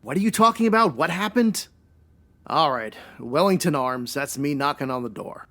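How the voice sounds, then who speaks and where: A man's voice: fairly high pitch, expressive, normal speed, sharp tone, faint music behind. A man playing a brusque, slangy, wisecracking private detective in a noir comedy radio drama. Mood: urgent, brusque.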